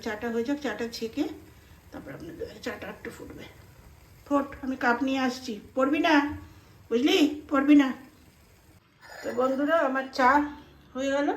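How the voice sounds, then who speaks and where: A middle-aged woman with an Indian Bengali accent talks casually and informally, as in a homely cooking vlog, dropping in English and Hindi words. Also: sits high. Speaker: home cooking vlogger. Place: indoors at home.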